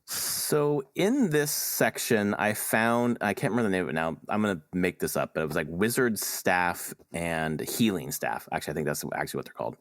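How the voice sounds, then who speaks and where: A man with a low-pitched voice chats casually about video games as a podcast co-host. His delivery is informal and joking, with casual swearing. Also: animated, moderate pace, few pauses. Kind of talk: casual gaming chat.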